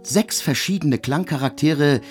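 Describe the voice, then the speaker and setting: An actor playing an aloof, intellectual young composer in a literary radio drama, lecturing with offhand irony. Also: male voice, on the high side, a little slow, soft background music, natural voice. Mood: wry, lecturing.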